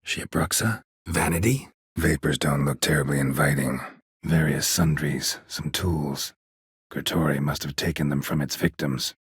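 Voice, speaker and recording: An actor playing a gruff, weary, stoic monster hunter in a video game, a gravelly voice and a terse, deadpan delivery. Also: male voice, a little slow, no room sound, exaggerated voice. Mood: matter-of-fact, grim. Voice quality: husky, gravelly low voice. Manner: terse, clipped, deadpan, dry. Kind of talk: video game character dialogue.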